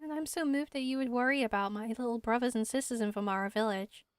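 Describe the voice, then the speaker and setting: A woman's voice: a little high, fast talker, smooth, no room sound, studio quality, close to an everyday voice. A woman voicing a kind, earnest young female forest patroller who is protective like a big sister, in an amateur game voice-over. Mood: warm, grateful.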